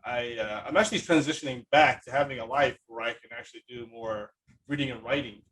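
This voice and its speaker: A man's voice: mid-range voice, fast, a bit bright, far from the mic, a little hiss or hum, rough recording. A man hosting a podcast in a casual, rambling conversational way, often repeating his phrases. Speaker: podcast host. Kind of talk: casual conversational interview talk.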